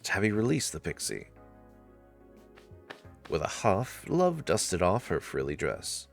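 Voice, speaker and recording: A woman's voice: very deep voice, wildly swooping pitch, slow pace, vocal fry, faint music behind. A woman narrating a visual novel in a scripted storytelling style.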